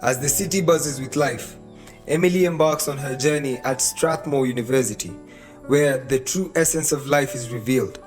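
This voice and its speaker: A man's voice: mid-range voice, normal speed. A man with a Kenyan accent reading scripted radio drama narration, with music behind him. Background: background music.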